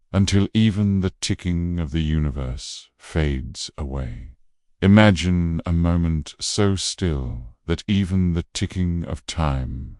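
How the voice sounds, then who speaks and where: A man narrating a bedtime sleep meditation in a slow, hushed, gentle voice that trails off softly. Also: a bass voice, dry recording, clean studio sound. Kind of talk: soothing scripted bedtime narration.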